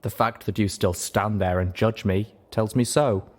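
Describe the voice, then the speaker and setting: A man voicing a calm, righteous pony leader of a slave empire, solemn, measured and paternal. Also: lowish voice, close to an everyday voice. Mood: solemn, judging. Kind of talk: acted audiobook dialogue.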